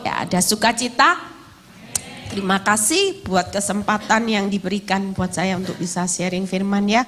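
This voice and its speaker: A middle-aged woman with an East Javanese Indonesian accent preaching a sermon emphatically, her voice slightly hoarse. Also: medium pitch, moderate pace, fairly bright tone, a little hiss or hum. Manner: emphatic, with rhetorical questions and acted-out quotes. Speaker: evangelist, guest preacher.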